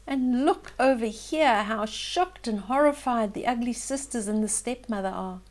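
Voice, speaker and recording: An older woman reading a fairytale aloud to children in an expressive way, with simple explaining asides to young listeners. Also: fairly high pitch, unhurried. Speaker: children's storyteller, host.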